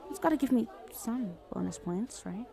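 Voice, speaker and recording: A woman's voice: a little high, lively intonation, normal speed, vocal fry, dark tone, some chatter behind. A woman playing a flirty, self-justifying villain in an ASMR roleplay, talking in a casual, playful, sardonic way. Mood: smug, playful. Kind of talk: acted ASMR roleplay monologue.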